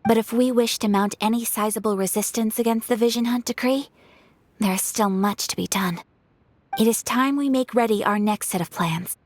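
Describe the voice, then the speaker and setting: An actress voicing a refined young noblewoman in a video game, composed and courteous, with a soft, formal way of speaking. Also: a little high, normal speed, dry recording, natural voice. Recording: game audio with soft music behind.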